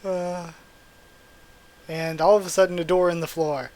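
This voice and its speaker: A hoarse young man with a cracking voice, hosting a casual manga readthrough and chatting excitedly as he goes. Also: high-pitched, unhurried. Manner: chatty, excitable, thinks out loud. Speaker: channel host reading manga aloud. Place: small room at home. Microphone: gaming headset mic.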